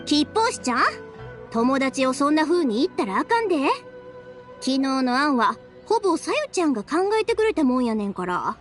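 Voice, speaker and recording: Game role: considerate young woman, Kansai accent, lively intonation, fast high delivery. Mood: friendly, caring. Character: cheerful, caring young woman, friendly and considerate. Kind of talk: Japanese video game voice acting. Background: game music.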